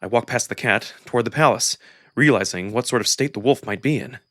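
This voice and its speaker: A man with a medium-pitched voice narrates a dramatic story in the first person. He reads expressively, acting out the narrator's thoughts as a voice actor would in a visual novel. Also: brisk, fairly bright tone, no room sound, clean studio sound.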